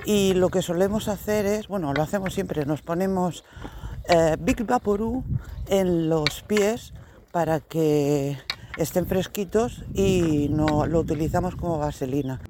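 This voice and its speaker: A woman casually narrating a walking-trail travel vlog outdoors, chatty and relaxed, with hesitant fillers. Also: lowish voice, measured pace, noisy background. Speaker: vlogger walking the pilgrimage trail with her cousin. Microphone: phone camera held while walking.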